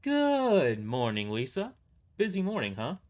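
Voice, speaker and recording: A man's voice: on the high side, huge pitch swings, a little slow, darkish tone, pitched above the performer's own voice. A man voicing a small, casual, unbothered female mercenary in an amateur audio drama.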